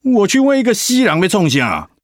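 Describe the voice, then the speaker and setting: Radio drama husband: Taiwanese accent, placating and flustered, widely swinging intonation, dry close sound. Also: male voice, high-pitched, wildly swooping pitch, brisk, no room sound. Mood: matter-of-fact. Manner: sighing, placating, hesitant. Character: patient, flustered, devoted husband and father.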